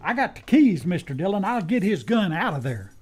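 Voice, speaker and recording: A man's voice: high voice, sing-song, fairly quick, husky, full character voice. An actor playing a folksy, fussy American deputy who is loyal to his marshal, in a western radio play. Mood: eager, helpful. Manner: folksy rustic drawl, fussy and deferential. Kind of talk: old-time western radio play acting.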